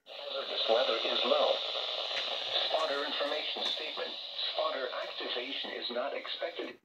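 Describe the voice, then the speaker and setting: A man's voice: medium pitch, steady tone, normal speed, bright, forceful tone. A man reading a scripted weather bulletin as an announcer, heard through a radio speaker. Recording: staticky, muffled weather radio broadcast, very noisy.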